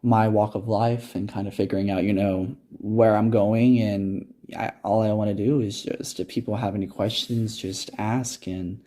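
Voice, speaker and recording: A teenage male podcast guest speaking casually, in an earnest, halting manner. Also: lowish voice, steady tone, warm tone. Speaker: podcast guest, high school student. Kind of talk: casual interview talk.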